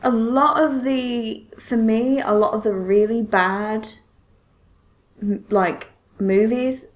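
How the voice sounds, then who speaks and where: Female podcast host, high voice, casual and halting, emphatic repeats. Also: steady tone. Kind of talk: casual chat.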